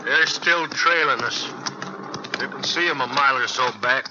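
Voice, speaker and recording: A man's voice: medium pitch, normal speed, lightly acted. An American man playing a restless, vengeful outlaw in a 1950s radio western, folksy and needling. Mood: pushy. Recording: muffled, noisy old radio recording.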